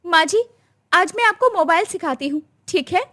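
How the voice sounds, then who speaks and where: A young modern daughter-in-law from a family storybook drama, played by a woman. She sounds very high and lively, earnest, outspoken and caring. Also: normal speed, full character voice. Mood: warm.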